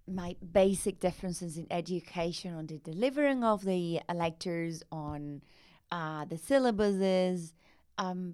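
Excitable radio host lady, smooth voice, gushing and hunting for words sometimes. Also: lowish voice, no echo, clean studio sound. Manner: enthusiastic, effusive, searching for words in questions. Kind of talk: hosting a radio interview.

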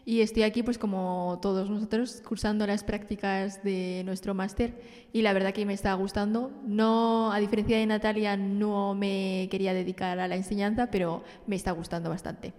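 A woman's voice: mid-range voice, level tone, moderate pace, darkish tone. Young woman guest in reverberant hall. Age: young adult. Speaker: student teacher, interview guest. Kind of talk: casual spoken interview.

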